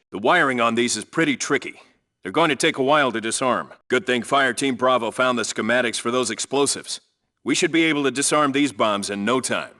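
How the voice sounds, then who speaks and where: A man's voice: mid-range voice, bright, forceful tone. A man playing a no-nonsense special forces team leader in a video game, reporting in a clipped, businesslike, commanding way. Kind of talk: scripted radio call-outs, video game military acting.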